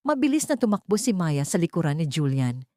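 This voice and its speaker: Expressive woman narrating a dramatic story. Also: fairly low pitch, brisk, dry recording, clean studio sound.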